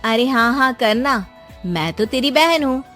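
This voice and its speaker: A woman voicing a scheming young daughter in an animated story, with a sly, wheedling, insistent delivery. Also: a little high, a little slow, soft background music. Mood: sweet, sly.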